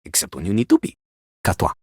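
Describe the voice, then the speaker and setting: A woman's voice: rumbling low, huge pitch swings, fast, over loud music, exaggerated voice. A woman voicing a pompous, boastful male French poet-rapper for a video game, with a French accent and haughty, theatrical delivery. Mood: indignant. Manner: haughty, dramatic, speaks of himself in third person.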